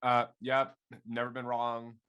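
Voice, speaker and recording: A man's voice: medium pitch, monotone, a little slow, a bit bright, clean tone. A man chatting casually as a panel guest, playfully boastful. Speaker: cast member on a panel. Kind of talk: casual chat.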